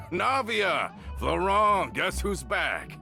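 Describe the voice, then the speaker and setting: An actor playing a hearty, tough ex-convict and loyal gang member in an expressive video game performance. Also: male voice, high voice, very slow, creaky, faint music behind, full character voice. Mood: jovial, relieved.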